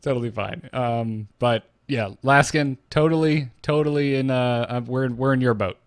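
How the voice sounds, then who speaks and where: A man co-hosting a gaming podcast in casual chat, wry and a bit halting. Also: mid-range voice, smooth, no room sound. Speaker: podcast co-host. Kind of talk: casual chat about video game lore.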